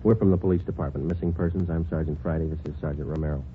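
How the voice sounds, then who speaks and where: A man playing a terse, deadpan police sergeant in a 1940s radio detective drama, speaking in clipped, businesslike lines. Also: extremely low pitch, racing, slight background noise. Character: terse, deadpan male police sergeant, polite but dogged. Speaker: actor in a radio detective series. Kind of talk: 1940s radio acting, terse narration and dialogue. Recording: tinny 1949 radio recording, faint music.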